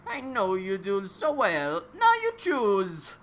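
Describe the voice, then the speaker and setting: A weird, eager old cartoon scientist, pitched far above a natural speaking voice. He talks in broken, off-kilter bursts, like a figure from an absurd storybook. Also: huge pitch swings, takes it slowly, a bit bright, a little hiss or hum, full character voice. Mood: eerily cheerful.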